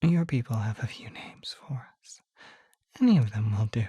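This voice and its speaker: A woman voicing a gentle, shy young male naga in an ASMR roleplay, speaking in a soft, hesitant, breathy whisper. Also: rumbling low, lively intonation, normal speed, no room sound, exaggerated voice. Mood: shy, explaining. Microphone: close microphone, dry.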